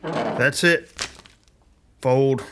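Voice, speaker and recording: Bright-voiced, gruff army sergeant played by a man. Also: mid-range voice, drawn-out words, slight background noise. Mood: plain-spoken. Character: gruff, plain-spoken honourable army sergeant. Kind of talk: audio drama acting.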